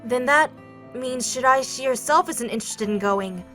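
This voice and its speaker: A woman's voice: high-pitched, normal speed, pushed and bright, faint music behind. A woman playing a brash, irritable teenage schoolboy stuck in a girl's body in an anime dub, blunt and cocky. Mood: sceptical.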